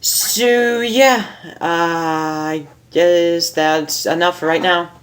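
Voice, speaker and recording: A woman's voice: fairly low pitch, fairly even intonation, very slow. A woman narrating a story in a casual, amateur way as host, informal and prone to stumbling over lines. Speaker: fan fiction reader, channel host.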